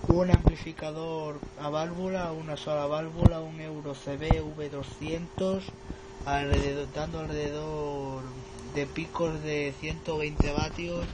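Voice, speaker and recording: A man's voice: a little high, very noisy. A man chatting casually as a radio operator, often repeating words for emphasis. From Extremadura, Spain.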